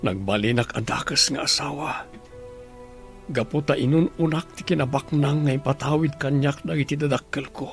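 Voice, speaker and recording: Creaky-voiced husband played by a man, heartfelt drama. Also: fairly high pitch, moderate pace, faint music behind, lightly acted. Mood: anguished, pleading. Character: anguished wealthy husband praying for his wife. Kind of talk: radio drama acting.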